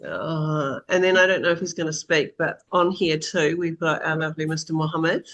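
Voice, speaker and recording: A woman's voice: deep voice, steady tone, moderate pace, fairly bright tone, highs cut off. A woman hosting a casual group video call in an informal, teasing way. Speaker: host.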